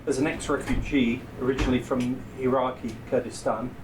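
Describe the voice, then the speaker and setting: A man's voice: medium pitch, fairly even intonation, a little fast. A man hosting a live literary event in a bar, reading out a prepared introduction. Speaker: event host. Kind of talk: introducing a reader, scripted bio. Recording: distant mic, faintly noisy bar.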